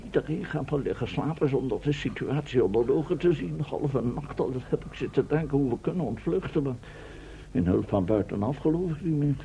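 A man's voice: mid-range voice, lively intonation, fairly quick, vocal fry, dark tone. An actor playing a gloomy, fussy captive professor in a Dutch radio play, pompous and wordy in his fretting. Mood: worried, despondent. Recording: muffled, noisy old radio play recording.

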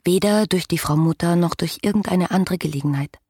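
An actress playing a headstrong, lovestruck young woman in a radio play, voicing her letters with breathy, ardent intimacy. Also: on the low side, brisk, darkish tone. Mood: yearning. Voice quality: husky, breathy.

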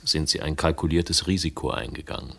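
A world-weary investigator with a very low voice. He tells his own case in a radio crime play, wry and literary in his phrasing. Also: brisk, creaky, breathy edge, full character voice. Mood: terse, determined. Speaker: narrator and protagonist. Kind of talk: radio crime drama narration.

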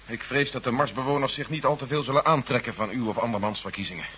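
An actor playing an urgent, commanding older army general in a 1970s science fiction radio play. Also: male voice, medium pitch, fast talker, pushed and bright, close to an everyday voice. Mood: grave, insistent. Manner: commanding, urgent, formal with superiors. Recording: muffled, noisy 1970s radio play recording.

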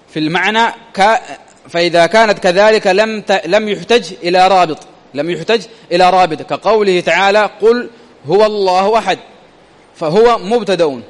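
A man's voice: sits high, in a large hall. A man teaching a grammar lesson, repeating phrases and quizzing his listeners with rhetorical questions.

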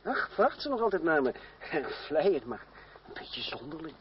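An actor playing a proud, cool young gentleman fencing master in a radio play, speaking formally with measured irony. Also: fairly high pitch, sing-song. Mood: surprised, courteous. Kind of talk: Dutch radio play acting. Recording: dull, noisy old radio recording.

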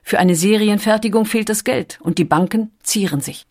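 A woman reading a documentary script as narrator, with a calm, clear storytelling delivery. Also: medium pitch, normal speed.

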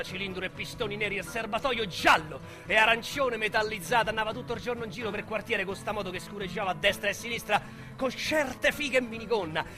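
An actor with a Roman accent playing a young, boastful, foul-mouthed street criminal in a radio drama monologue, with coarse slang and a swaggering delivery. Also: male voice, sits high, lightly acted. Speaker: narrator and lead character.

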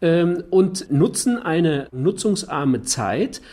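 A man giving a technical explanation in an interview as an expert, halting now and then to find his wording. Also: on the high side, lively intonation, dark tone.

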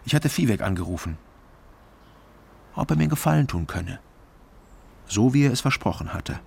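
An actor playing a dry, matter-of-fact male East Berlin private detective in a radio crime drama, with a gravelly voice. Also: medium pitch, big pitch swings, moderate pace, a little mellow, full character voice. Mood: terse, matter-of-fact. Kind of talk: radio crime drama, first-person narration and dialogue.

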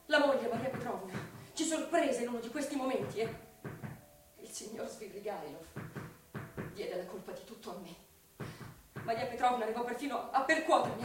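A devoted young sister pours out a letter in a radio play, acted by a woman. She is halting and intensely emotional, repeating her words. The voice is high, distant and very echoey, with music behind it. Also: close to an everyday voice. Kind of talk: theatrical radio drama monologue.

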